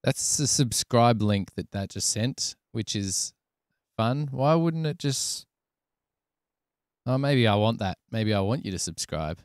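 A man's voice: medium pitch, animated, slow pace, no echo. An Australian man in his thirties chatting casually on a livestream in a joking, slangy, rambling way, close to a desk mic. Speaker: live streamer, sound editor. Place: small indoor studio. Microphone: close desk microphone.

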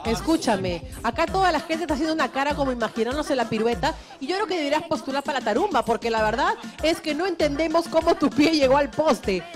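A high-voiced woman TV host questions her guest pushily and keeps cutting in. She speaks into a handheld studio mic. A clear music bed plays under her voice. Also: expressive, quick pace, hardly any pauses. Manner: pushy, interrupting questioner. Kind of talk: casual live TV chat.

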